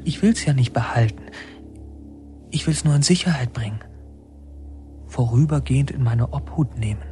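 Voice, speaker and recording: A male actor plays a reflective, haunted narrator telling his own story in a literary radio drama. The voice is warm and dark at a middle pitch, deliberate and confiding, with an intense edge. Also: faint music behind, natural voice. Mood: hushed, confiding.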